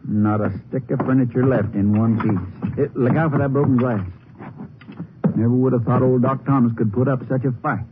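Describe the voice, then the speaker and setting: A male actor plays a plain-spoken small-town sheriff, folksy and earnest. His voice sits at an ordinary pitch for a man, on a muffled, slightly noisy old radio drama recording. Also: steady tone, normal speed, close to an everyday voice. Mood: somber, folksy. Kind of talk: 1950s radio drama acting.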